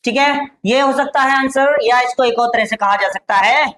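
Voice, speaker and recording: A woman with an Indian accent teaching spoken English to Hindi-speaking students, slowly dictating model answers and repeating each phrase. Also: on the high side, fairly bright tone.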